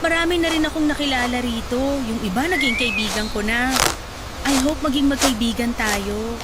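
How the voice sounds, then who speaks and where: A woman's voice: a little high, normal speed, very noisy, close to an everyday voice. An actress playing a chatty, encouraging young woman volunteer who teases lightly and laughs often. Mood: friendly. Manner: lively, teasing, laughs often. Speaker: volunteer at a charity event. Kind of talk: radio drama acting. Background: loud background music.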